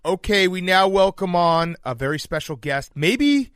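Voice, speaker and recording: A man's voice: high-pitched, animated, normal speed, a bit bright, clean tone, no echo, studio quality. A man hosting a podcast, chatting casually and interviewing guests.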